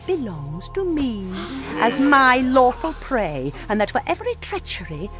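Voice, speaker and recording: A woman's voice: sits high, wildly swooping pitch, measured pace, over music, heavily acted. An actress playing a cold, menacing, haughty witch queen in a theatrical radio drama. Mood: scornful, menacing.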